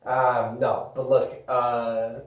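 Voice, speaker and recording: A man's voice: medium pitch, monotone, drawn-out words. Young man, echoey and off-mic. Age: 20s. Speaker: podcast co-host. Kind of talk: casual chat about games and movies. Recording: echoey room, distant from the mic.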